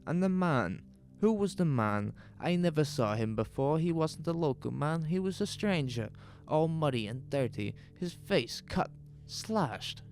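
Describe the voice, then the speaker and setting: A man's voice: a little high, expressive, unhurried, darkish tone, voice pushed up. A man playing a frightened, agitated survivor in a horror audio drama, speaking with urgent emphasis. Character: frightened, agitated man who escaped a takeover. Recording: music behind the voice.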